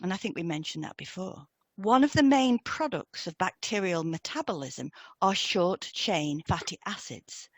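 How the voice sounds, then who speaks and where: A woman co-presenting a scripted educational lesson in a measured, deliberate reading style. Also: fairly low pitch, dry recording.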